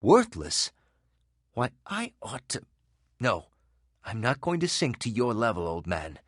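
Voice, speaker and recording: A man's voice: medium pitch, wildly swooping pitch, measured pace, no echo, clean studio sound. An actor playing a hot-headed, devout young man in a video game, speaking with earnest, dramatic indignation. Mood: indignant. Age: young adult.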